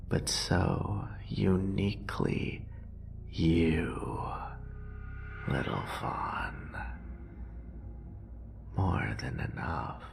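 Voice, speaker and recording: A man playing an obsessive, murderous, possessive lover in a horror roleplay audio drama, with a breathy, gravelly voice and lingering repetitions. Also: deep voice, darkish tone, a little hiss or hum, full character voice. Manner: breathy whispering, drawn-out sighs, lingering repetitions.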